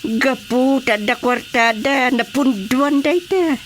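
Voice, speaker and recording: A man's voice: very high-pitched, slow pace, a bit bright, full character voice. A man playing an earnest, exasperated village chairman in an Ilocano Filipino radio drama, speaking emphatically. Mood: exasperated, explaining. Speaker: voice actor. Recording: faint background noise.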